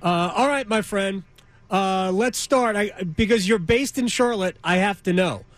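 High-pitched man hosting sports radio. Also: a little slow. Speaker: sports talk radio host. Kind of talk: casual sports radio conversation. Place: radio studio. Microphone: close studio microphone.

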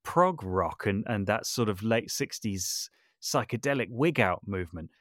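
A man's voice: fairly low pitch, lively intonation, measured pace, fairly bright tone, no room sound, studio quality. A man chatting casually as an interview guest, self-deprecating and talkative, often restarting his sentences. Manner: chatty, self-deprecating, hesitant with false starts. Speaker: podcast guest, composer and voice artist.

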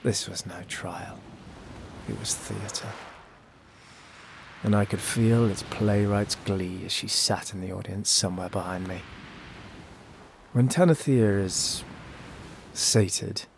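A man's voice: deep voice, gravelly, a little hiss or hum, heavily acted. An actor playing a cunning, disgraced young noble, narrating his own fantasy tale with sardonic, literary bitterness. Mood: bitter. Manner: theatrical, literary, sardonic storytelling. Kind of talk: first-person narration in a high fantasy audio drama.

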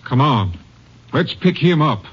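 An actor playing a brisk, direct Texas Ranger captain in an old-time radio drama. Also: male voice, on the high side, animated. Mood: brisk, keen. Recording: muffled old radio recording.

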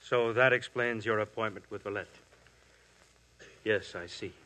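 An actor playing a measured, skeptical police inspector, in 1950s radio drama style. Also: male voice, fairly low pitch, steady tone, pushed and bright, poor audio. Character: dry, probing police inspector, middle-aged. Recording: old radio broadcast with faint music.

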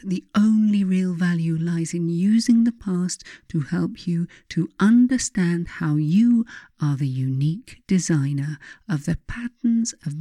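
A woman reading her own self-help writing aloud as scripted narration, in a measured, emphatic, instructive manner. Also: lowish voice, dark tone, dry recording, studio quality.

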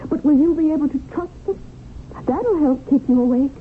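Woman acting a hurt wife in 70s radio play, high voice, knowing n reproachful, muffled noisy old recording. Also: normal speed, lightly acted. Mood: knowing, needling. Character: plain, wounded wife, knowing and quietly reproachful. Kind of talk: 1970s radio drama acting.

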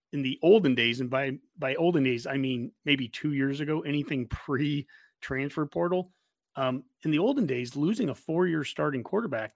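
Sports podcast host: American male, conversational, creaky voice, very dry recording. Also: a little high, no echo. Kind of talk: casual sports talk.

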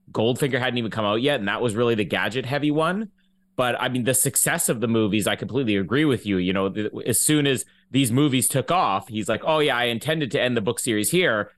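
Man w average pitch, podcast cohost, explaining his take conversationally. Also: fairly bright tone. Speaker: podcast co-host. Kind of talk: casual discussion.

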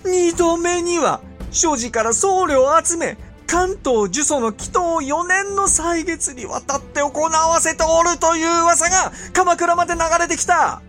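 Shrill, haughty medieval Japanese lord. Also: male voice, a bit bright, soft background music. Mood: suspicious. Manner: imperious, theatrical, drawn-out emphatic delivery. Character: haughty, impatient, suspicious male medieval Japanese ruler. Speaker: voice actor. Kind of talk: period radio drama acting in archaic speech.

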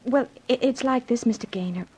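An actress playing an anxious, confiding lady's maid with a husky voice and nervous stammer in a 1950s radio drama. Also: a little high, fairly quick, a little mellow. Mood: worried.

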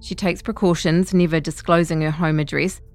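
A woman reading a scripted feature article in a measured, composed way. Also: on the low side, fairly even intonation, darkish tone, soft background music. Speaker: narrator of a long-read podcast.

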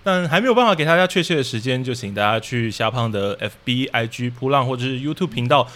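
Fast-talking Taiwanese Mandarin man, explanatory podcast guest. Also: mid-range voice, lively intonation, a bit bright. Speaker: guest, radio drama troupe leader. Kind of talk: casual podcast interview answers.

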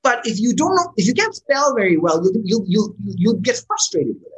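A startup founder gives a casual interview. The pitch moves a lot, and the voice is animated and expressive. The speaker often restarts words and leans on tag questions like "right?" to keep the listener in step. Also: brisk, poor audio. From Pakistan.

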